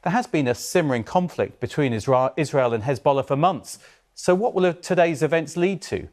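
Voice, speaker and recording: A man delivering a security correspondent's news report in a measured, explanatory way. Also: mid-range voice, darkish tone.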